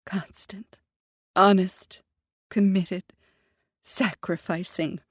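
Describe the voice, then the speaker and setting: Woman voicing a dignified older Jamaican mom, formal and commanding, husky, slow storybook delivery. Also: fairly low pitch, steady tone, no room sound, heavily acted. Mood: earnest. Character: proud composed older Jamaican mother. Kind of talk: audiobook character voice.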